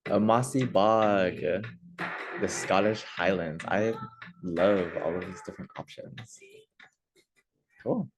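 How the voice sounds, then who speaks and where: A woman with a very deep, low voice hosts an online webinar. She talks in an upbeat, friendly way, full of fillers and eager enthusiasm, heard through a computer mic on a video call. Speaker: webinar host, community education manager.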